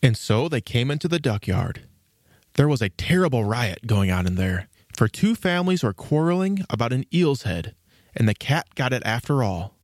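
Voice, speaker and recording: A man reading scripted storybook narration as the narrator, in an expressive storytelling manner. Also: medium pitch, normal speed, no echo, clean studio sound.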